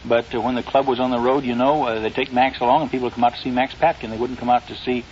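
Fast, folksy man on vintage radio. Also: medium pitch, steady tone, darkish tone. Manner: halting, folksy storytelling. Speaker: guest sports writer. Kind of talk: early 1950s radio interview. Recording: dull, noisy old radio recording.

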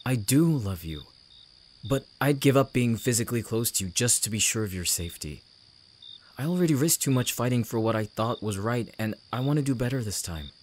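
An actor playing a devoted, heartbroken young man in an emotional audio drama, speaking earnestly and haltingly. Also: mid-range voice, animated, a little mellow, a little hiss or hum, natural voice. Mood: soft, wistful.

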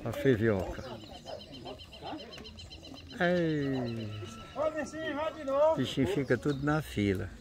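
A man's voice: mid-range voice, lively intonation, very slow, pauses often. A man making casual remarks as he films livestock outdoors. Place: outdoor cattle pens at a fair. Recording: outdoors with background chatter and animals.

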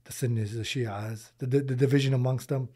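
A man's voice: medium pitch, steady tone, brisk, warm tone. A man in his forties, raised in the US and born in Lebanon, talking earnestly as a podcast guest, often repeating words as he thinks and using Arabic religious phrases. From Lebanon, raised in Michigan, US. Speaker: podcast guest, charity founder. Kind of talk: casual interview conversation. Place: small podcast studio indoors. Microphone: boom-arm studio mic.

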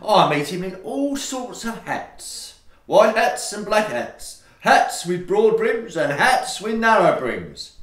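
A man's voice: high voice, sing-song, slow, a bit bright, roomy sound, full character voice. A man playing an irritable, self-important Victorian lodger in a farcical audio play, declaiming. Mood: indignant suspicion. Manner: indignant, declamatory. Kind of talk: theatrical farce acting for audio play.

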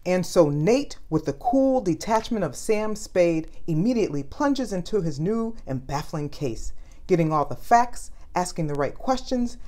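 Expressive low-voiced woman reading a storybook. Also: a little slow. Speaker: storyteller reading children's books aloud. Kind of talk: read-aloud story narration.

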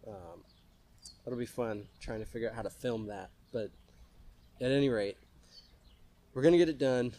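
A man's voice: mid-range voice, normal speed, pauses often, dark tone, a little hiss or hum. A young man talking loosely to the camera outdoors about his do-it-yourself project, with fillers and restarts. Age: young adult. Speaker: fishing vlogger. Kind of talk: casual DIY vlog talk. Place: outdoors by a wall in a yard.

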